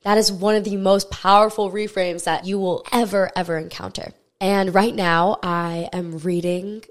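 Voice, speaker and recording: A woman's voice: medium pitch, steady tone, normal speed. A young woman with a stuffy nose hosting a solo podcast, talking candidly and earnestly, swearing casually as she goes. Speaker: podcast host.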